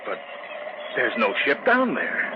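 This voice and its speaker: Earnest American male writer, highly animated mid-range voice, dramatic vintage radio horror, heavy background noise. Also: takes it slowly. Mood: puzzled. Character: earnest male writer recounting an eerie tale. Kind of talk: 1940s-50s American radio acting. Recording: noisy, muffled old radio recording.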